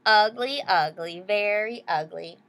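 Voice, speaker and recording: A woman voicing mocking schoolchildren in a read-aloud storybook, with emphatic, drawn-out jeering. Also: medium pitch, a bit bright. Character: mocking, taunting group of schoolchildren.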